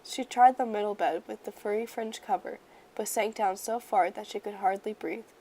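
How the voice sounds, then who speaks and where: A woman storyteller reads a children's picture book aloud. Her delivery is measured and expressive, with medium pitch, shaped for young listeners. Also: poor audio.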